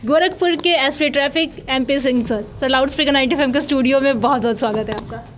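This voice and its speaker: High-pitched woman hosting a radio interview. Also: quick pace, background noise. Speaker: radio show host, interviewer. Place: radio studio.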